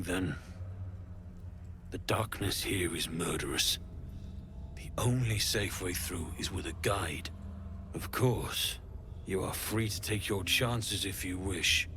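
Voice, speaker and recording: Casting: guarded male cultist, dark fantasy game, lowish and unhurried, formal delivery. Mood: grave. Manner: measured, formal.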